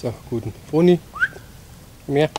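A man's voice: a little high, unhurried, mellow, slight background noise. A young man casually talking through his cooking outdoors in a forest, in a laid-back, wry way, recorded on the camera mic. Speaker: bushcraft video maker.

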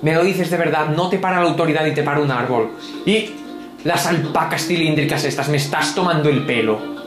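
Sarcastic gaming host, slightly high voice, echoey room, game music loud behind. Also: male voice, brisk. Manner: sardonic, grumbling, mock-pompous. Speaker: gameplay commentator. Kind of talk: sarcastic ranting game commentary.

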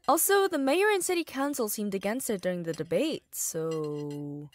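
A woman playing a lively, outspoken high school girl in an audio drama. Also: moderate pace, dry recording, close to an everyday voice. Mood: animated. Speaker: voice actor.

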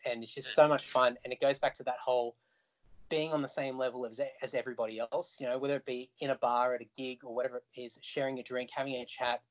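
An Australian man, a musician guest, telling stories in an animated, chatty way over a video call. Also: medium pitch. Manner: animated, chatty storyteller who laughs easily. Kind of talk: casual video call chat. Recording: dull lo-fi call audio.

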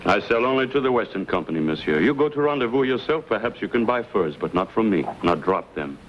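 An actor playing a hostile, suspicious Cajun trapper in an old TV western. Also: male voice, fairly low pitch, lively intonation, quick pace, natural voice. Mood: hostile, menacing. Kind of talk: 1950s TV western acting. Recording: muffled vintage film soundtrack, faint noise.

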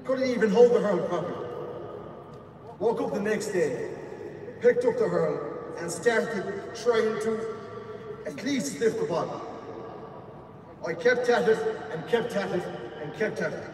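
A man in his twenties, from Iraq, giving an earnest prepared speech at a podium on an outdoor stadium pitch. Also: measured pace, pauses often, a little mellow, slight background noise. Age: 20s. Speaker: speaker at a public event. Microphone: podium mic over the stadium PA, heard from the stands. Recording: echoey stadium PA from far off.

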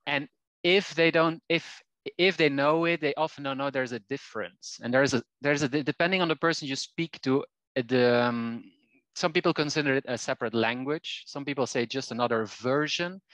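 A Flemish-speaking man from Belgium talks over a headset on a video call from a small room at home. His voice sits at an ordinary pitch with a dry, close sound. He hesitates often, repeating words before finishing his thoughts. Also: a bit bright, dry recording. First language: Flemish Dutch. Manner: halting, many restarts and repeated words. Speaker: guest language teacher.

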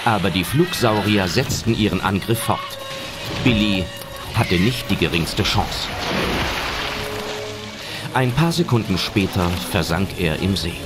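A man's voice: sits low, animated, measured pace, pauses often. A man reading scripted audio drama narration as a storyteller. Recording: narration over loud film music.

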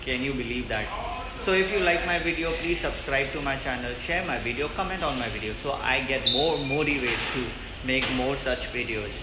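A man's voice: medium pitch, a little fast, very noisy. A man in his thirties presenting a casual vlog to his viewers, recorded on a phone held at arm's length. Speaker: vlogger, channel host. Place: large empty indoor mess room on a ship. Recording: roomy echo with music behind.

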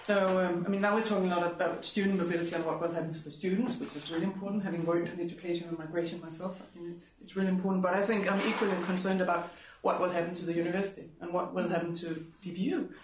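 A middle-aged man joins a seminar discussion. He speaks quickly and fairly high, in an echoey classroom with faint noise. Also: little pitch movement. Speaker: university staff member. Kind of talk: informal discussion. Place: classroom, indoors.

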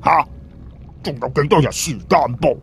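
A man's voice: fairly high pitch, moderate pace, creaky, fairly bright tone, husky, heavily acted. A man with a Cantonese accent voicing a brash, exasperated man who scolds his companion in blunt questions for an audio story. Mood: exasperated, alarmed. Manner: blunt, repetitive, firing rhetorical questions.

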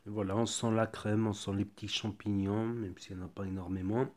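A man with a cold reviewing a ready meal at home in a relaxed, chatty way, thinking aloud as he goes. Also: fairly low pitch, a little fast. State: has a cold. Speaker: food reviewer. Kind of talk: casual product tasting review. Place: home kitchen table.

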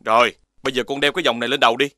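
A man voicing a patient father dog in a cartoon, calm and gently lecturing his son. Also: medium pitch, rapid-fire, a little hiss or hum, lightly acted. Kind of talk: cartoon voice acting. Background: background music.

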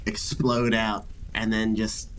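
A man's voice: on the low side, monotone, slow pace, fairly bright tone, slight background noise. A man playing a tabletop game, improvising his character's moves in casual chat.

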